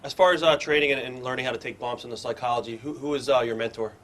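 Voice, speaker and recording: A man's voice: mid-range voice, steady tone, very fast, a bit bright. A man hosting an interview, asking straightforward questions. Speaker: interviewer, host.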